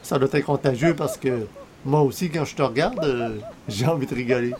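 A man's voice: medium pitch, normal speed, crowd noise, natural voice. An actor playing a wry, easygoing male pal with colloquial, teasing delivery and a Quebec French accent in a radio comedy. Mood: amused, teasing. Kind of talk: 1940s-style radio comedy acting.

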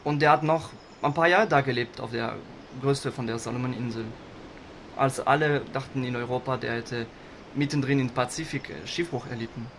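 A man playing a chatty cellist who tells a rambling anecdote, halting and correcting himself in slightly faulty German grammar. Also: medium pitch, fairly bright tone, a little hiss or hum, close to an everyday voice. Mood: chatty, musing. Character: cellist of a string trio, chatty, rambling storyteller. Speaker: musician being interviewed. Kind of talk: casual anecdote telling.

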